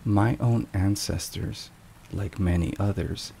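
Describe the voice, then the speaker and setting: A man with a low voice narrates in a solemn, scripted ASMR style. He speaks very slowly and with measured care. Rain and thunder are clearly heard behind him. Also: warm tone, slight background noise, rough recording. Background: thunderstorm and rain sounds.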